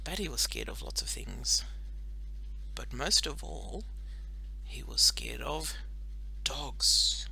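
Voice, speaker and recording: A woman narrating a children's audiobook expressively, with dramatic pauses and drawn-out phrases. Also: rumbling low, huge pitch swings, creaky, sharp tone, whispered, low-quality recording.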